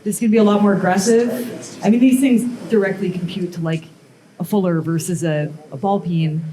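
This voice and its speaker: A woman's voice: on the low side, steady tone, normal speed, mellow. A woman giving a live metalworking demonstration, talking casually with lots of fillers. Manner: casual, rambling, many "um" and "like" fillers. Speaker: blacksmith demonstrator. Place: demo space with a live audience. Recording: echoey room with crowd sounds.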